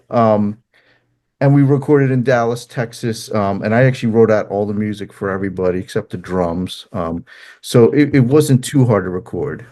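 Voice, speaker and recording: A man's voice: lowish voice, a little mellow. A middle-aged man with a New Jersey accent, talking casually as an interview guest about his music, with many ums and restarts.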